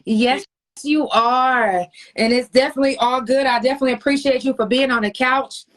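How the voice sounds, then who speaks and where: A woman's voice: high-pitched, a little fast. A woman hosting a casual interview podcast, chatting in an affirming, slangy way. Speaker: podcast host.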